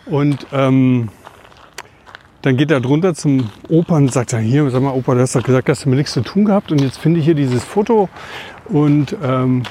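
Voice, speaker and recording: A man's voice: mid-range voice, normal speed, mellow, a little hiss or hum. A man from southern Hesse in his forties chats on a podcast in an ordinary mid-range voice. He wanders from one personal anecdote to the next in an easy, conversational way. A faint background ambience sits under his voice. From southern Hesse, Odenwald. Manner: rambling anecdotal storyteller. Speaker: podcast co-host. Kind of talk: casual conversation.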